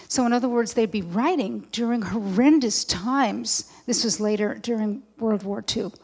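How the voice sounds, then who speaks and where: A woman's voice: on the high side, dark tone. A woman audience member telling an anecdote at a public event. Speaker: audience member making a comment. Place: large indoor event hall. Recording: echoey hall with long reverb.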